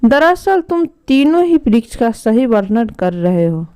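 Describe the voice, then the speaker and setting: A woman voicing a wise, patient king who instructs his sons with measured authority in a storybook tale. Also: a little high, big pitch swings, mellow, clear, resonant tone. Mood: calm, advising.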